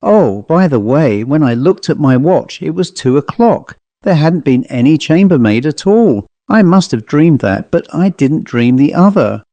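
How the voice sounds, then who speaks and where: A man's voice: fairly high pitch, expressive, measured pace, few pauses, mellow, no room sound. A man voicing a plain-spoken travelling salesman telling a grim storybook tale in a dry, colloquial, conversational manner. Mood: matter-of-fact. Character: haunted male commercial traveller, plain-spoken teller of grim tales. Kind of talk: dramatised storybook monologue.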